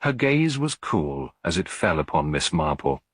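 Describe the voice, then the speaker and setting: A man narrating a scripted mystery story in a measured, literary storytelling manner. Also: sits low, very animated, husky, no room sound, clean studio sound. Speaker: audiobook narrator.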